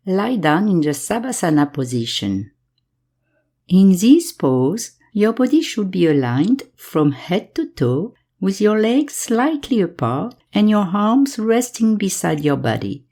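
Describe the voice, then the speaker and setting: A woman guiding a yoga nidra meditation in calm, measured instructions, leaving pauses between phrases. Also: medium pitch. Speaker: meditation guide.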